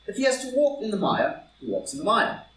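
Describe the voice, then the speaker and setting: A man playing a witty, idle English lord and loyal friend in a live reading of a stage comedy, speaking haltingly with pauses. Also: high voice, huge pitch swings, a bit bright, heavily acted. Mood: hesitant, tactful. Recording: roomy, distant, noisy live group recording.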